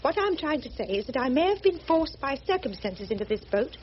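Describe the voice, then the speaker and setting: A woman's voice: high-pitched, big pitch swings, a little fast. An actress playing a prim, determined English missionary in her thirties, with Received Pronunciation and a formal, earnest manner, in a radio drama. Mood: earnest, indignant. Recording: muffled, noisy old radio drama recording.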